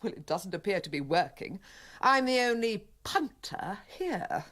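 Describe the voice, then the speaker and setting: A woman's voice: medium pitch, sing-song, a little slow, a bit bright. A woman playing a haughty, easily offended middle-aged mother in a radio comedy, prim, pompous and emphatic. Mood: indignant. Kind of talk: radio comedy play acting.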